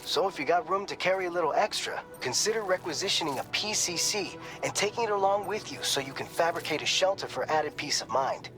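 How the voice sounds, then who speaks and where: Reverberant game mission briefer. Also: male voice, on the high side, lively intonation, fairly quick, hardly any pauses. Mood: briefing, serious. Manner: clear, explanatory, supportive. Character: encouraging male mission support officer briefing a courier. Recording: long reverb, loud music behind.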